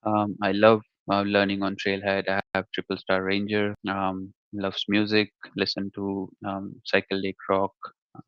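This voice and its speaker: A man's voice: low voice, completely flat delivery, normal speed, clear, resonant tone, no echo, dull audio. A man from India hosting a virtual developer session, introducing himself and the speaker with many hesitant fillers. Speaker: developer group leader and host. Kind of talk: webinar introduction.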